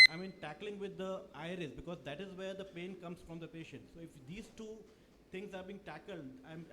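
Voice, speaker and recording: A man's voice: a little high, sharp tone, slight background noise, rough recording. A man, an eye surgeon making a direct point on a conference panel. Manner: direct, explanatory.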